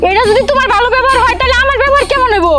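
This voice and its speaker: Casting: actress as bossy, impatient Bangladeshi wife and daughter, very high voice, very fast delivery, loud music underneath. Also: female voice. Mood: aggrieved, defiant. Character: bossy, impatient married daughter scolding her stingy husband. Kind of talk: Bengali family comedy drama acting.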